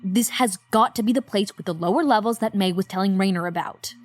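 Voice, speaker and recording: A woman's voice: medium pitch, brisk, lightly acted. A woman playing an eager, insistent research partner in an audio drama, blunt and impatient.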